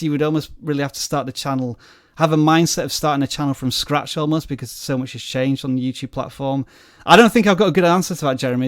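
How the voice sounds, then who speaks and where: A man's voice: on the high side, clean tone, no echo, clean studio sound. A man hosting a live-stream Q&A, rambling and self-deprecating in a casual chat. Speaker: host.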